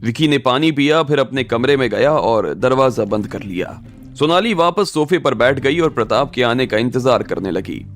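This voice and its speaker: Guy narrating an audio story, medium pitch, soft music underneath. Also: lively intonation, normal speed.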